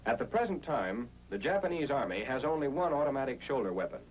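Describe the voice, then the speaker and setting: Lively 1940s American training film narrator, male. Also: mid-range voice, fast, poor audio. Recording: old 1940s film soundtrack, hum and hiss.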